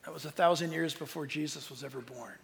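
A man with a fairly high, creaky voice speaks as a Bible teacher to an audience. His delivery is earnest and emphatic, broken by dramatic pauses. Long reverb from a large hall trails his words. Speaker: Bible teacher and tour leader. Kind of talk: informal preaching talk to an audience.

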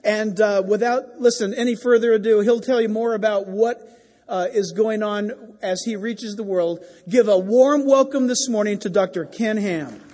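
High-voiced man hyping a church guest. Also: darkish tone. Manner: eager with filler pauses. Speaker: church host introducing the speaker. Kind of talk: enthusiastic introduction. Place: church sanctuary. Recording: reverberant hall.

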